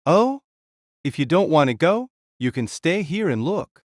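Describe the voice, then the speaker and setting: A man voicing a bold, booming warrior king who talks in loud, hearty commands. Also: big pitch swings, unhurried, no echo, studio quality. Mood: commanding.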